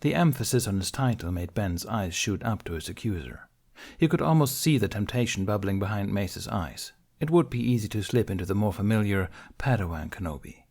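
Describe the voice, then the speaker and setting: A man's voice: fairly low pitch, fairly quick, darkish tone. A man reading scripted fiction as an audiobook narrator.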